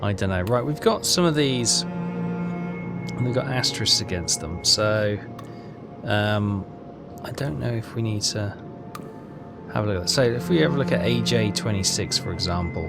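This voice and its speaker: A man's voice: fairly low pitch, normal speed, vocal fry, loud music behind the voice. A man hosting a casual game walkthrough, hesitant and rambling as he thinks aloud. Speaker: gaming video host.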